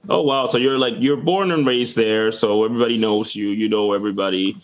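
Chatty low-voiced male podcast host. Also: fairly quick. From Dominican Republic. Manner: rambling, chatty questions with restarts. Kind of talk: casual interview chat.

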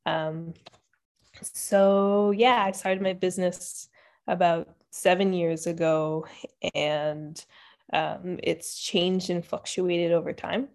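Gravelly low-voiced woman. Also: takes it slowly. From Ontario, Canada. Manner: relaxed, many "um" fillers, thinking aloud. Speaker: podcast guest, entrepreneur. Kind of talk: casual interview chat.

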